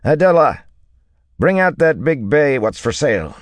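A man's voice: lowish voice, lively intonation, a little slow, dry recording, voice pushed up. A man voicing a wiry, shrewd stable-keeper in an audiobook, with a deferential, bland salesman's patter. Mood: calling out. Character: wiry, leathery, shrewd male stable-keeper selling horses. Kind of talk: audiobook character dialogue.

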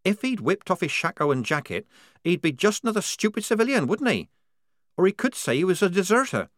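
A man voicing a quick-witted young woman soldier who talks fast and urgently to cover things up. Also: high voice, big pitch swings, a bit bright, no echo, studio quality, voice pushed up.

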